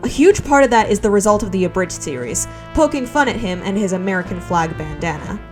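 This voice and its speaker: A woman's voice: mid-range voice, expressive, brisk. A woman hosting a scripted video essay with wry, conversational asides, over background music.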